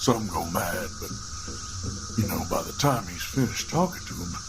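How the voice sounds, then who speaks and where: An eerie folklore teller. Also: male voice, lowish voice, expressive, normal speed, background noise. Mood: ominous. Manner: hushed storytelling, bursts into shouting. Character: eerie local man telling dark folklore.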